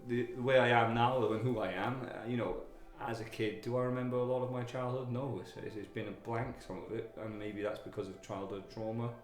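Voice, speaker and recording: A man from Wales, a guest telling his own story in a candid interview, halting, with many fillers and restarts. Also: medium pitch, steady tone. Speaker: guest, army veteran. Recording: echoey room with music behind.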